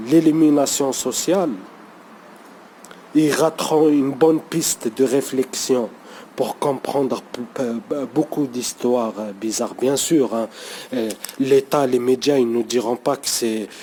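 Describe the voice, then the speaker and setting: A man who is not a native speaker talks unscripted to camera in an unhurried, mellow voice. He halts often, restarts phrases and keeps asking whether you follow. Faint ambient noise sits behind him. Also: medium pitch. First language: not French. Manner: hesitant, full of fillers and restarts, keeps saying "you see". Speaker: vlogger.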